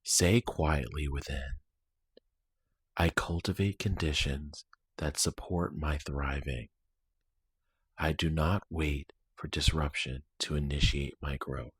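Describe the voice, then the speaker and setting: A man with an extremely deep, husky voice leads a guided meditation. He speaks in a soothing, deliberate way, leaving long pauses between short phrases. The recording is dry and close, with no room sound. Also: steady tone, no room sound.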